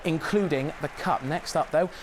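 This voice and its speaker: A man reviewing football results in a brisk, analytical studio round-up. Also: fairly high pitch, background noise. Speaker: sports reporter. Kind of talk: sports round-up.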